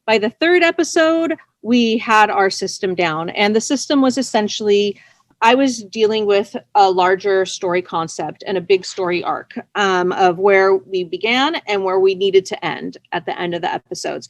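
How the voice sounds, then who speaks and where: A woman being interviewed, telling stories casually in a chatty, expressive and self-effacing way. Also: medium pitch, moderate pace, no echo. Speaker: interview guest, audio drama writer. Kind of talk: casual conversational storytelling.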